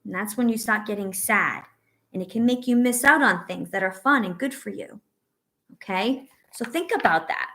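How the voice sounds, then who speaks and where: A woman reading a picture book aloud to young children as a teacher, pausing to ask questions and offer encouragement and reassurance. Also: mid-range voice, fairly bright tone. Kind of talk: read-aloud story with asides to young children.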